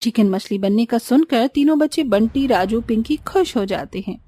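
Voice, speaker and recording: ऊँची, मुलायम आवाज़ वाली महिला कथावाचक. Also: female voice, on the high side, lively intonation, normal speed, mellow, slightly rough. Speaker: narrator. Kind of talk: scripted cartoon story narration.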